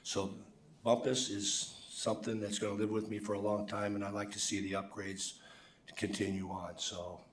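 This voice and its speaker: A man in his sixties with a hoarse voice, giving halting public testimony as a softball umpire. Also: fairly low pitch, flat delivery, a little hiss or hum. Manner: halting, many restarts. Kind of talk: public comment at a council meeting. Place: council chamber. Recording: echoey council chamber, distant mic.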